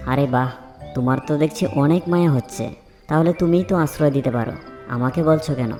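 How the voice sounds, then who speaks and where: A man's voice: fairly high pitch, fairly quick, a little mellow, faint music behind, lightly acted. A man voicing a haughty, grumpy banyan tree in a children's fairy tale cartoon, dismissive and blunt.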